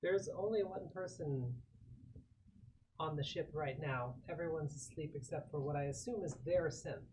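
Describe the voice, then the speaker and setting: A man's voice: fairly high pitch, normal speed, darkish tone, slight background noise, low-quality recording. A man talking casually as a player in a tabletop game, asking questions in an inquisitive way.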